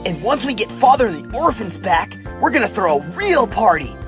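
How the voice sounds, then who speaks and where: A man's voice: sits high, big pitch swings, moderate pace, over music. A man voicing an upbeat, childlike teenage mutant turtle in a motion comic, exuberant and slangy. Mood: cheerful excitement.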